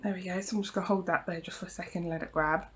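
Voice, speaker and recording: A woman demonstrating a craft project at her home craft table, croaky from hay fever, talking in a chatty, reassuring way with frequent ums and apologies. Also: fairly low pitch, steady tone, very fast. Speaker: craft tutorial host. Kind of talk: casual hands-on craft demonstration.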